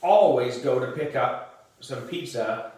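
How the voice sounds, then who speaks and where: A man's voice: medium pitch, expressive, takes it slowly, darkish tone, slight background noise. A man voicing a kindly, practical grandfather with a reassuring manner in a children's storybook. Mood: caring. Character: kindly, practical grandfather looking after a boy. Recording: distant mic in echoey room.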